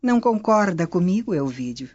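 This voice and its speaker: Scheming Roman noblewoman for radio play, played by a woman: low voice, imperious, formal, dull recording. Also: very animated, normal speed, background noise. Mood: haughty. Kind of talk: radio drama acting. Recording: dull lo-fi audio.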